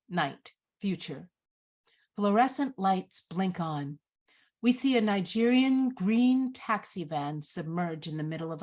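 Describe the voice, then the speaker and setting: A woman reading stage directions as narrator at a live screenplay reading, in a measured, descriptive manner. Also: on the low side, darkish tone.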